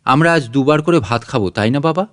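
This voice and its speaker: A man's voice: medium pitch, expressive, a little fast, no room sound, clean studio sound, natural voice. A man voicing an innocent little boy in an audiobook story, with a childlike, inquisitive manner. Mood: innocent, curious. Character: innocent curious little boy questioning his parents. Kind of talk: audiobook character acting.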